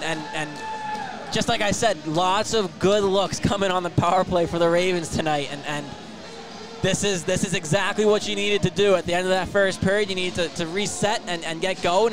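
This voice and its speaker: A man's voice: sits high, steady tone, normal speed, music in the background, crowd noise. A man working as a hockey color commentator, talking conversationally and emphatically, often repeating and stumbling over words. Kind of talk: live hockey analysis.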